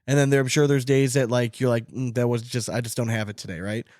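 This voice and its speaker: A man's voice: mid-range voice, steady tone, fast, darkish tone, dry recording, clean studio sound. A man hosting a casual sports podcast, chatting in a blunt, sweary, slangy way. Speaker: podcast host.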